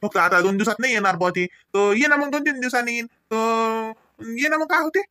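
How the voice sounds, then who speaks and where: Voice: medium pitch, brisk, sharp tone, no room sound, studio quality, close to an everyday voice. An actor voicing an eager, polite, easygoing college-age young man in a comedy cartoon, speaking colloquial Marathi with a Varhadi accent. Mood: eager, reassuring. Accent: Varhadi Marathi from Vidarbha. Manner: colloquial, obliging, reassuring.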